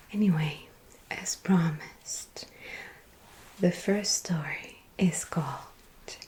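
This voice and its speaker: A woman tells spooky tales in a breathy, unvoiced whisper, drawn out at a very unhurried pace. Her voice sits a little low, and she lingers over each phrase like an ASMR storyteller in vampire roleplay. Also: a little mellow.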